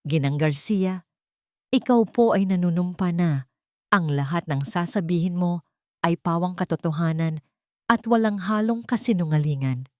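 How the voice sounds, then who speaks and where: Woman reading as a solemn judge, lowish voice. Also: a little mellow, no room sound, studio quality, natural voice. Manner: formal, measured. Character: solemn, formal courtroom judge.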